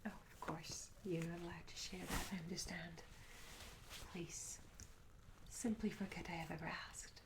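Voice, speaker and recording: A woman playing a curious, polite medieval tavern maid in an ASMR roleplay, speaking in a soft, breathy whisper with gentle, hesitant, old-fashioned courtesy. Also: on the low side, fairly even intonation, unhurried. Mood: gentle, apologetic. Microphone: close ASMR microphone. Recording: close whisper over audible ambience noise. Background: candlelit tavern ambience.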